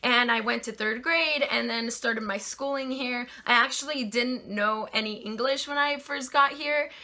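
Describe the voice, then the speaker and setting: A woman's voice: on the high side, normal speed, pushed and bright. A woman in her twenties from Poland with a slight Polish accent, chatting casually as she answers viewer questions in her vlog. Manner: chatty, with many "like" and "you know" fillers.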